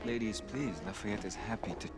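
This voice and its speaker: An actor playing a courtly, playful French aristocrat with a French accent in a video game. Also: male voice, on the low side, fairly quick, loud music behind the voice, lightly acted. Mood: suave, playful. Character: suave, flirtatious French aristocrat gentleman adventurer.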